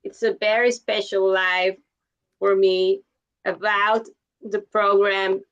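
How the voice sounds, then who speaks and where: Slow, measured woman host. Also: medium pitch, flat delivery, fairly bright tone, smooth, muffled recording. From Mexico. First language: Spanish. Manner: deliberate, measured introductions. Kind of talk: live online interview.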